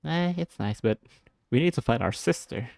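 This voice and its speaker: A man's voice: mid-range voice, lively intonation, moderate pace, vocal fry, darkish tone. A man giving casual playthrough commentary in short, murmured reactions, often repeating words, into a close microphone. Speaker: gaming video maker.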